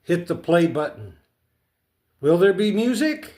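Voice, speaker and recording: A man's voice: high-pitched, very animated, unhurried, a little mellow. A man casually narrating a hands-on DIY repair, talking himself through each step in a folksy, playful way. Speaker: host of a DIY repair video.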